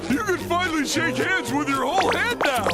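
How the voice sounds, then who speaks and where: A man's voice: very high voice, lively intonation, over loud music. A man voicing a dim-witted, easygoing starfish sidekick in a cartoon game, with a goofy manner. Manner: slow, goofy.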